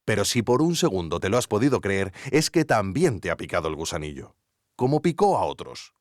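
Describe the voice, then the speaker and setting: An actor playing an earnest investigative podcast narrator with a Spanish accent from Spain, theatrical, suspenseful and tongue-in-cheek. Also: male voice, fairly low pitch, big pitch swings, fairly quick, no echo. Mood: earnest, wry. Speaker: host. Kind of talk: scripted documentary narration.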